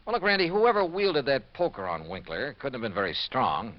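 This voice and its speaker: An actor playing a sharp, persistent insurance investigator in 1950s American radio drama, with brisk, clipped, wry delivery. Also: male voice, mid-range voice, expressive, close to an everyday voice. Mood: businesslike. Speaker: lead actor narrating and playing detective. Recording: muffled old radio recording with noise.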